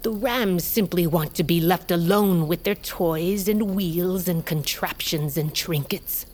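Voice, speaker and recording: Low-voiced, commanding warrior queen. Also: a little mellow. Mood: menacing, proud. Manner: imperious, measured. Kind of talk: fantasy audio drama.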